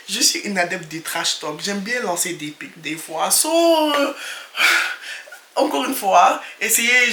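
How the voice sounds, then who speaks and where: Young female vlogger, casual and loose, code-switches constantly, crisp bright tone, light background hiss. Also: lowish voice. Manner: chatty, switches between French and English, quick laughs. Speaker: channel host. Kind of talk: casual vlog talk to camera. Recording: echoey room with faint noise.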